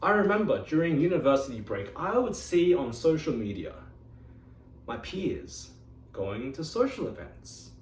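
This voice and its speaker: Man, lively delivery, speaking in an echoey meeting room a distance from the mic. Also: fairly high pitch, slight background noise. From Australia. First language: Mandarin Chinese. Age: 20s. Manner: expressive storytelling with dramatic pauses. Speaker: club member giving a speech. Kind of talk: prepared speech to a club.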